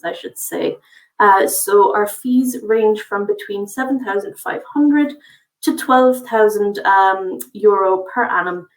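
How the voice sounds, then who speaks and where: A woman from a college's international office talks through a webinar presentation in a friendly, informative way, filling pauses with uh and um. She sits back from her microphone, and the room rings around her voice. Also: mid-range voice, echoey room, distant mic, rough recording. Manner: friendly, informative, frequent uh and um fillers.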